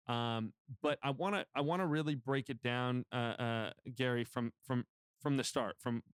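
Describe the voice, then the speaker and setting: Man, podcast host, upbeat, smooth voice, dry sound. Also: mid-range voice, dry recording. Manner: upbeat, quick interjections. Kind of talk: casual interview.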